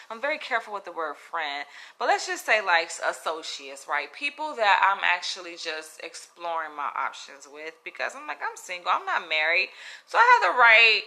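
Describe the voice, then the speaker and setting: Southern US woman, piercingly bright tense voice, frank and profane, animated casual storytelling. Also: fairly low pitch. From Florida, US. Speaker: comedian.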